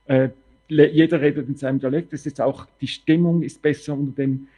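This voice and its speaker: A man's voice: fairly high pitch, fairly even intonation, brisk, warm tone, breathy edge. A man moderating a panel and putting questions in spontaneous speech.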